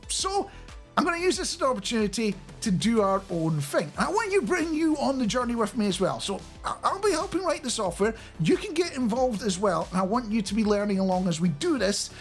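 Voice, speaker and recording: A middle-aged man giving a casual, chatty and animated explainer into a close studio microphone. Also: high-pitched. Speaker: radio producer and software developer presenting a video. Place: small home studio indoors. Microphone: close studio microphone on a boom arm.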